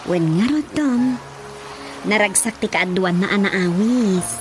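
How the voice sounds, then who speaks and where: A woman's voice: medium pitch, expressive, takes it slowly. An actress playing a chatty, observant village woman in a conversational radio comedy, with an Ilocano accent and music behind. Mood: gossiping. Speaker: drama actor.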